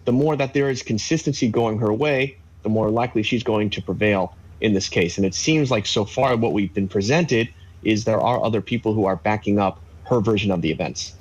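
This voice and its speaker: Husky man analyzing on a video call. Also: on the low side, rough recording. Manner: measured, explanatory, with frequent fillers. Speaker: legal analyst. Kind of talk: live news interview commentary. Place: home office with bookshelves.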